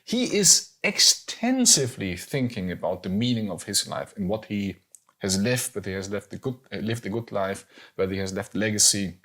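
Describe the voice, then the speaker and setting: A German man in his forties talks through his thoughts in accented English, pausing on fillers and circling back to restart his sentences. His voice sits on the low side with a husky, breathy edge. The delivery is casual and reflective. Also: expressive, bright, forceful tone. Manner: hesitant, many fillers and restarts. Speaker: podcast co-host. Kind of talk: casual conversation.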